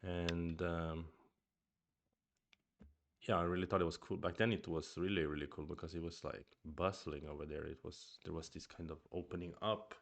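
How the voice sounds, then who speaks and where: A man's voice: low-pitched, little pitch movement, normal speed, gravelly. A man streaming a review of his own go games, thinking aloud in a casual chat with murmured asides and restarts; his first language is Swedish.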